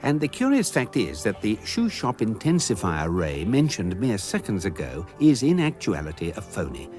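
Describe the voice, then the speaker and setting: An actor playing a dry, erudite guidebook narrator in measured comic narration. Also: male voice, fairly low pitch. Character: wry, learned male guidebook narrator.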